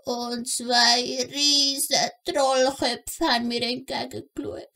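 A woman acts a kindly elderly gnome grandmother in a children's radio play. She speaks Swiss German in a creaky voice and takes her time over every sentence, like someone telling a story. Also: sits high, fairly even intonation, slow, bright, forceful tone, full character voice. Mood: storytelling.

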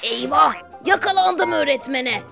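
An actor voicing a cocky, sneaky little troublemaker animal in a children's cartoon dub, with a gravelly voice. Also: sits high, animated, measured pace, exaggerated voice. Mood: alarmed. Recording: music under the voice.

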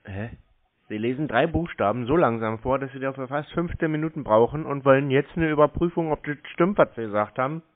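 A man talks over a thin, muffled phone line. His pitch is ordinary. He plays an obtuse customer, grumbling and quibbling over what he is told. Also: fairly quick. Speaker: scambaiter posing as a victim on a phone call. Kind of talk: phone conversation, playing a slow customer.

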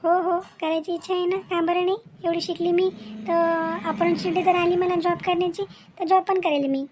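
An extremely high-pitched young cartoon village woman , acted by a woman, frank and sure of herself. She, with faint noise on the recording. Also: level tone, moderate pace. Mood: frank, confident. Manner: frank, plain-spoken. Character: outspoken, confident young village bride-to-be and her sister. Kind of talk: comic cartoon dialogue.

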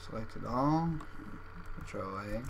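Male tutorial presenter, unhurried and offhand, casual step-by-step delivery, noisy home mic. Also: lowish voice, lively intonation, creaky. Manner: offhand, terse step-by-step instructions. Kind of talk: casual screen-recorded tutorial. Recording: noisy home microphone screen recording.

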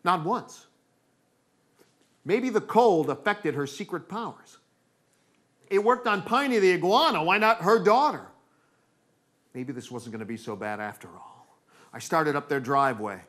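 A man's voice: a little high, lively intonation, measured pace, long pauses, a bit bright. A middle-aged American man performing theatrical comic storytelling on stage, acting out moments with dramatic delivery. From New England, United States.

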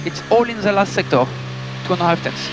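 High-pitched male race engineer over noisy radio. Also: expressive, a little fast. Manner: clipped, matter-of-fact, polite. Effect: team radio. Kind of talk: terse radio instructions to a racing driver. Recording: team radio with loud engine noise.

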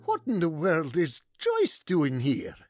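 An actress playing a brusque, reluctant relative in a radio drama, with a husky voice. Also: female voice, low-pitched, huge pitch swings, slow pace, over music, full character voice. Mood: brusque, flustered. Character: brusque relative unwilling to take a child.

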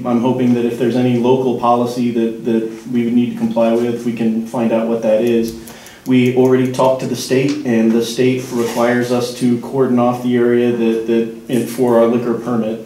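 A man's voice: medium pitch, dark tone. A man addressing a town council as a local business owner, apologetic and earnest. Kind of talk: public comment at council meeting. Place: town hall meeting room. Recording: echoey room from far off.

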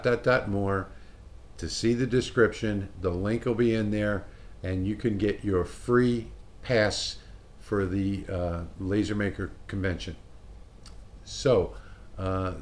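Low-voiced older American man. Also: unhurried, darkish tone. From Louisiana, United States. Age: older, about 60. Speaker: hobby channel host. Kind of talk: casual announcement to camera. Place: small indoor wood-panelled workshop.

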